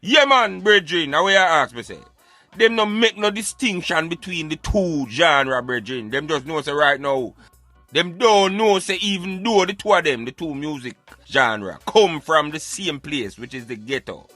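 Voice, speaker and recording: A man's voice: on the high side, expressive, normal speed, pushed and bright, no room sound, soft background music. A man with a Jamaican accent hosting a talk show, giving emphatic, preaching commentary in Patois. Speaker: radio show host.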